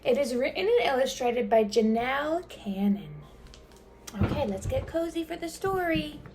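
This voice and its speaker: A woman reading a children's storybook aloud in an expressive, dramatic way, drawing out words. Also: high-pitched, normal speed. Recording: clear background noise.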